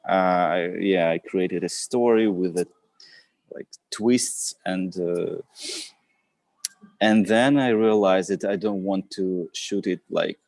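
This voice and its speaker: Ukrainian guy on a video call, slow and kinda low, lots of uhs, dry sound. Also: darkish tone, no room sound. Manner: hesitant, many fillers and restarts. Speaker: actor and writer guest. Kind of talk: live interview chat.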